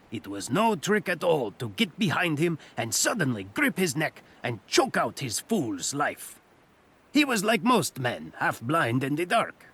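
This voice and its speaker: An actor playing a cunning, boastful master thief in a fantasy audio drama, with a theatrical, self-assured delivery. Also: male voice, fairly high pitch, fairly bright tone, slightly rough, full character voice.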